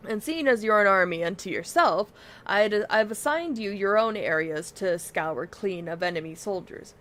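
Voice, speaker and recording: A woman's voice: medium pitch, lively intonation, moderate pace, fairly bright tone, close to an everyday voice. A woman reading a confident, formal military commander's briefing aloud from a fantasy video game. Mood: brisk, confident. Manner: formal, orderly, declarative. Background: video game music.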